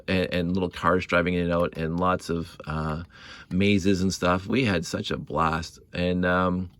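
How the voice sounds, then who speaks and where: Middle aged guy rambling fondly about old times, low voice, really dry close sound, stumbles over repeats. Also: fairly bright tone, no room sound. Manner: halting, repeats words; chuckles often, nostalgic. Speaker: hobby video creator. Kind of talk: casual rambling storytelling to camera.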